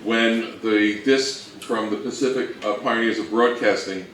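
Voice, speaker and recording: A man's voice: lowish voice, measured pace, a bit bright. A man giving an informal, conversational presentation, explaining things and posing rhetorical questions as a co-presenter. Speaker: co-presenter and old-time radio researcher. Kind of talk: informal slide presentation talk. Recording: echoey room, distant mic, faint noise.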